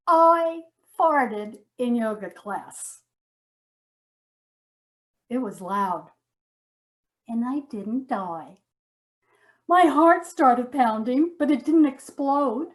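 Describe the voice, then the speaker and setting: An actress playing a liberated, wonder-struck yoga student in a comic monologue, with dramatic emphatic pauses. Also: female voice, high voice. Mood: amazed, gleeful. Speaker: amateur stage actor.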